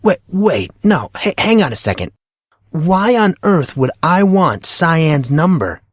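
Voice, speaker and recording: A man's voice: fairly high pitch, very animated, slow pace, no echo, clean studio sound, pitched above the performer's own voice, heavily acted. A man voicing an awkward, self-doubting teenage boy in an audio drama, stammering and exasperated. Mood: flustered. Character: awkward, flustered, self-doubting fourteen-year-old high school boy. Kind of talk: audio drama character acting.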